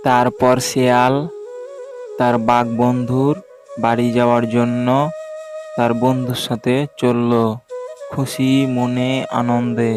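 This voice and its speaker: A male narrator tells a children's animal tale in simple storybook style. His delivery is flat in melody and mid-pitched. Flute music plays clearly underneath. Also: slow pace, pauses often.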